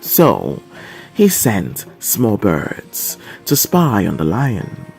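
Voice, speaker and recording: Male narrator for a dramatic fable, lowish mellow voice, measured storytelling, faint music behind. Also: gravelly. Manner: measured, expressive, deliberate phrasing.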